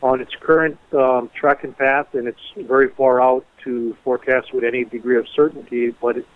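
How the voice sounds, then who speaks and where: A man gives an official briefing in a deliberate, explanatory way. His pitch is mid-range and stays quite flat. The sound is thin and narrow, as heard on a conference phone line. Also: no echo. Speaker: emergency management official. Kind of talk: press briefing on a conference call. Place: conference call. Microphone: telephone.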